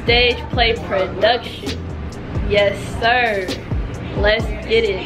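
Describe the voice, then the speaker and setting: Slow, high-voiced young woman vlogging. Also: steady tone. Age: young adult. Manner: playful, slangy. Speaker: vlogger and stage play actress. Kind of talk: casual backstage vlog chat. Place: backstage rooms of a theatre. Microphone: handheld camera at arm's length. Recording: loud music behind the voice.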